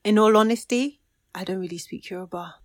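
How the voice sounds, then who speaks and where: A woman's voice: mid-range voice, brisk, dry recording. Woman, candid and thoughtful, dry close recording, casual chat.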